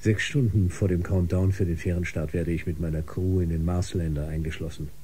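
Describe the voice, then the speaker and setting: An actor in a German science fiction radio drama playing a solemn, controlled Mars lander pilot who speaks in measured, precise phrases. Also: male voice, low-pitched, steady tone, warm tone, breathy edge. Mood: factual, precise.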